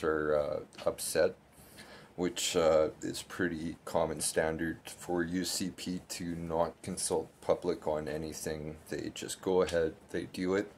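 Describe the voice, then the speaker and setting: A man in his thirties vlogs about local politics from a small bedroom, speaking into a close camera. His voice is deep and flat, with a gravelly edge. A faint hiss sits under the recording. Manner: loose, filler-heavy, critical. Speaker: political vlogger. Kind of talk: casual political commentary to camera.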